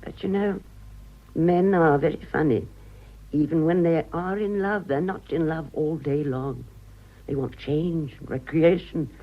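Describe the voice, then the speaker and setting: An actress playing a vain, self-pitying ageing romantic in a British radio drama, confiding dramatically and halting for effect. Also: female voice, low-pitched, dull audio. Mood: wistful, worldly. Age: older.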